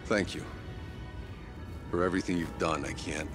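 Deep, grave male warrior played by an actor. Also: fairly even intonation, normal speed, close to an everyday voice. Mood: grim resolve. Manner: terse, grave. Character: grim, determined male warrior with a burdened conscience. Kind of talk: dramatic video game cutscene acting. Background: music.

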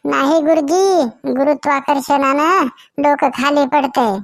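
A woman's voice: high-pitched, steady tone, measured pace, no room sound, clean studio sound. A woman voicing a cheeky young schoolboy who gives silly excuses in a cartoon comedy. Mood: innocent, cheeky. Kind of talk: cartoon comedy skit dialogue.

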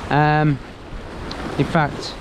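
A man's voice: on the high side, monotone, extremely slow. A man chatting casually to himself and the camera while fishing, in a muttering, self-deprecating way. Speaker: angler vlogger. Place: outdoors on sea cliff rocks. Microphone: action camera worn on the body. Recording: loud wind and surf noise outdoors. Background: sea waves and wind.

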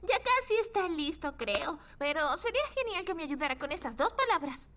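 A woman voicing a sweet, upbeat little girl in a cartoon, bubbly, expressive and polite. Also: very high voice, moderate pace, exaggerated voice. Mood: cheerful, polite. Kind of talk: animated cartoon dub acting. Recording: loud music behind the voice.

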